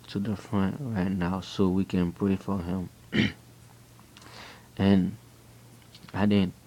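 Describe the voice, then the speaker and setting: A man from Haiti with a Haitian accent, telling a personal faith testimony in an earnest, halting way with frequent restarts. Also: sits low, darkish tone, rough recording. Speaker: person giving a religious testimony.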